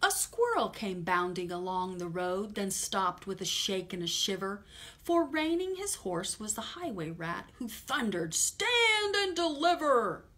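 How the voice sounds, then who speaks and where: A woman's voice: medium pitch, unhurried, reverberant, distant mic. American woman leading preschool storytime. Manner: simple, expressive explanations for small children. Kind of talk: children's storytime talk and read-aloud. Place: small indoor room. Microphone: camera a few feet away.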